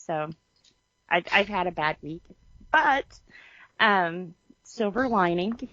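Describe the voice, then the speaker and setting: A dull, slightly lo-fi recording of a woman with a fairly low voice. She chats casually as a podcast co-host, telling things in an informal, chatty way. The sound is close and dry, with little room to it. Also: expressive, takes it slowly, fairly bright tone, dry recording. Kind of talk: casual chat.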